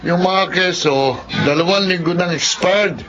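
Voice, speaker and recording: A man's voice: sits high, measured pace, crowd noise. A man with a Filipino accent playing a shifty, genial shopkeeper in a radio drama, with breezy, evasive sales patter. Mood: shrugging, sly. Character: shifty, genial male grocer selling expired goods. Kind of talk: Tagalog radio drama acting. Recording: dull, noisy radio drama track.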